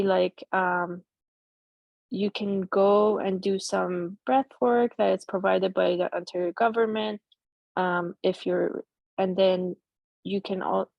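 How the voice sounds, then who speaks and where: A middle-aged woman whose first language is Persian, casually walking through a software demo on a video call with many fillers and restarts. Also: mid-range voice, gravelly, dry recording. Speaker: presenter demoing a chatbot tool.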